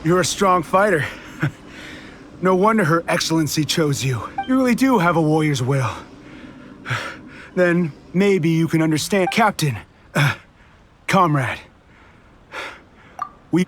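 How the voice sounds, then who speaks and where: A man's voice: fairly high pitch, expressive, slow, pauses often, a little hiss or hum. A man playing an embittered, boastful soldier in a video game, declamatory, scornful and impassioned. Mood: confiding. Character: embittered, boastful war-hungry male resistance soldier, defiant.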